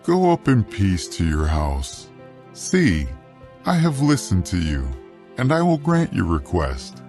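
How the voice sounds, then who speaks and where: An earnest male warrior leader from ancient Israel in a scripture drama. His delivery is slow and declamatory, with a lively, swelling range, over music. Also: low voice, full character voice. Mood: gracious.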